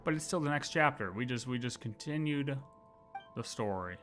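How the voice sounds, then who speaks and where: A man's voice: medium pitch, lively intonation, normal speed. A man giving casual, playful live game commentary, breaking into exclamations and talking to the characters. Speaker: livestreamer. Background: game music behind the voice.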